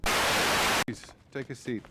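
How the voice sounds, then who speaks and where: An actor playing a calm, clinical psychiatrist who speaks in a measured, unflappable way, in a video game cutscene. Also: male voice, very noisy.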